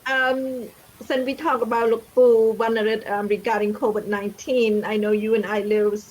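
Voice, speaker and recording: A woman's voice: on the high side, level tone, moderate pace, low-quality recording. A woman hosting an online talk show, friendly and somewhat hesitant, with frequent fillers.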